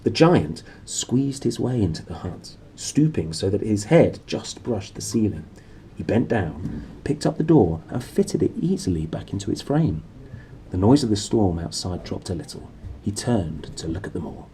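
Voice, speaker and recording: A man's voice: lowish voice, very animated, normal speed, mellow, a little hiss or hum, poor audio. A man reading a story aloud in a theatrical, playful and dramatic way. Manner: theatrical, playful, self-correcting. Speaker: storybook reader. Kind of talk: dramatic, expressive storybook narration.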